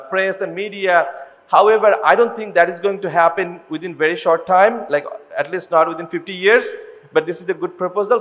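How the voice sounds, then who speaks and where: A high-pitched man from Bangladesh, giving a measured, explanatory panel answer full of "you know" fillers. His voice rings with a long reverb in a large ballroom. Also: fairly bright tone.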